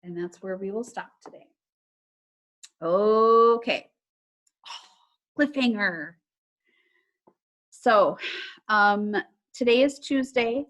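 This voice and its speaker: A woman's voice: medium pitch, drawn-out words, pauses often, a bit bright. A woman speaking as an elementary teacher, reading aloud and talking casually to her pupils in an online class. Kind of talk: read-aloud storytelling and casual announcements to pupils. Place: remote online class session.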